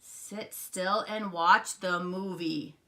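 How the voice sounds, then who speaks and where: A woman voicing an exasperated, stern frog father from a storybook, yelling and scolding. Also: fairly low pitch, steady tone, slow.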